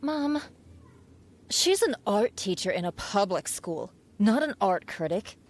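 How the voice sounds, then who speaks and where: A woman's voice: mid-range voice, sing-song, takes it slowly. A woman playing an assertive, exasperated, strong-willed teenage artist in an audio drama. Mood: exasperated, defiant. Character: strong-willed seventeen-year-old artist girl and her pushy mother.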